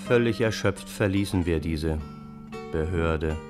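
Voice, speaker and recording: An actor playing a dejected gentleman who narrates his troubles in a scripted radio play. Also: sits low, measured pace, loud music behind the voice. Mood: weary, dejected.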